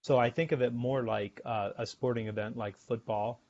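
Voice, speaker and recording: A middle-aged American man, a sales author and podcast guest, explaining his ideas in a measured way and often repeating words as he thinks. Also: mid-range voice, steady tone, darkish tone. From United States. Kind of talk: podcast interview. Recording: dull lo-fi remote audio.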